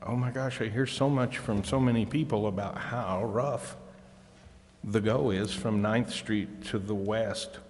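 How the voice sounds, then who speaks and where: Man, council member, lowish voice, deliberative questioning, reverberant chamber. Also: fairly even intonation, measured pace, a little mellow. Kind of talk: conversational questioning at a public meeting. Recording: reverberant hall, faint noise.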